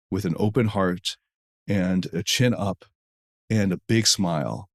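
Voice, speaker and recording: A man hosting a podcast alone, recovering from a head cold that lowers his voice, talking in an earnest, preachy way in long run-on lists, in an echoey room while traveling. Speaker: podcast host. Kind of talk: solo podcast monologue.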